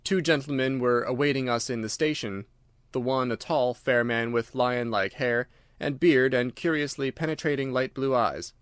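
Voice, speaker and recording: A man reading scripted audiobook narration in a measured, articulate, literary manner. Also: mid-range voice, fairly even intonation, clean tone, dry recording, studio quality.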